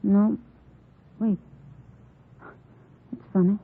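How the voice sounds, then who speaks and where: Breathy, tinny old-radio nurse. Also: female voice, medium pitch, flat delivery, drawn-out words, exaggerated voice. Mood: courteous, puzzled. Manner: courteous, hesitant. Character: polite, helpful female doctor's nurse-receptionist. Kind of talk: old-time radio drama acting.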